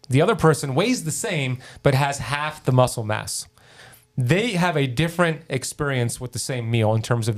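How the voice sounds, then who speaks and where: Guy explaining metabolism stuff on a podcast, keen and teachery, normal pitch. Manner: enthusiastic, teacherly, gives examples. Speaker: podcast guest, health author. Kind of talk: explanatory interview talk.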